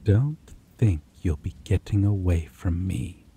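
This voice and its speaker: A man voicing a possessive, predatory male vampire in an ASMR roleplay, teasing and intimate, with low, purring chuckles and sudden whispers. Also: slight background noise. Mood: teasing, menacing. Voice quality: low, slow, purring delivery with soft chuckles.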